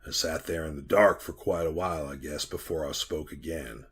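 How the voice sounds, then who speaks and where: An actor playing a frightened, trapped miner in a 1940s-style radio horror drama, husky and plain-spoken in a folksy way. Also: male voice, extremely low pitch, normal speed. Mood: hushed dread. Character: trapped, frightened miner telling his haunted tale.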